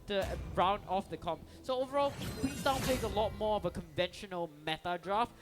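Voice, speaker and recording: A man casting an esports match, energetic and chatty, restarting sentences and tossing in "you know". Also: sits high, a little fast. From Singapore. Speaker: esports caster. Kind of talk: esports play-by-play commentary. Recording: commentary over loud game music.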